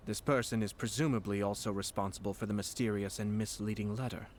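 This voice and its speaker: Actor playing a low-voiced, composed, cunning nobleman. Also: steady tone, slight background noise, lightly acted. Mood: calm, calculating. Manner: measured, formal, deliberate. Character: composed, cunning nobleman, calm and calculating commission head. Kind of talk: scripted video game dialogue. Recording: background music under the voice.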